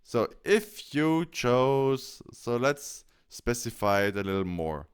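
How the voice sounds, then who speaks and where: A man presenting a software tutorial, explaining each step as he goes. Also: medium pitch, sing-song, slow pace, clean tone.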